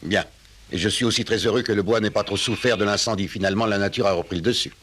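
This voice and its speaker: An actor playing a warm, courteous father who owns a ranch, in a cartoon dub. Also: lowish voice, quick pace, natural voice. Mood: friendly, warm. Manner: friendly, courteous. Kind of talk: French cartoon dubbing. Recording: clear noise behind dubbed cartoon dialogue.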